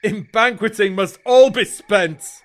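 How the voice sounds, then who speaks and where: An actor playing a proud, boastful Greek warrior in a Shakespearean audio drama, with a grand declamatory manner. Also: male voice, sits high, slow. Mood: lovesick resolve.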